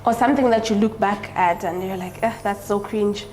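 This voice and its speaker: A woman's voice: mid-range voice, steady tone, a little fast, slight background noise, poor audio. Woman host asking casual questions. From Zambia. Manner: friendly, asks questions with soft fillers. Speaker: interview host.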